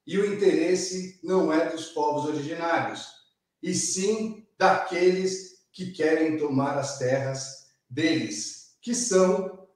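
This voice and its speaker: A man with a Brazilian Portuguese accent hosting a studio talk show, speaking in a slow, formal announcer manner. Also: a little high, a little mellow, far from the mic. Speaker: show host. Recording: very echoey room, voice from off-mic.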